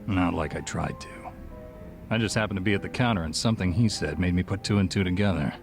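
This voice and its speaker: A shrewd bartender in a game cutscene, with a low voice and a measured, composed delivery. He is dry and discreet, and music plays clearly behind him. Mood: wry, composed. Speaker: video game character. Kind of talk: dramatic game cutscene acting.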